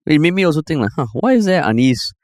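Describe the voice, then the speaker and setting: A man's voice: a little high, fast talker, no room sound, clean studio sound. A man with a Singaporean accent co-hosting a podcast in casual, chatty talk, often ending lines with "lah". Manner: chatty, animated, sprinkles lah. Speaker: podcast co-host. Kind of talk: casual chat.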